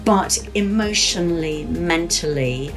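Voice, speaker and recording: A woman's voice: lowish voice, slow pace, over music. A woman in her late fifties or older, giving a reflective personal account as an interviewee. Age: late 50s or older. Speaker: interviewee, career-changer teacher and former journalist.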